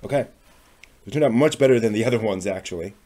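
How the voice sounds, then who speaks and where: A man casually thinking aloud as he works on a craft, muttering to himself in short, unhurried phrases. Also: on the low side, warm tone. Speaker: maker hosting a craft workshop video.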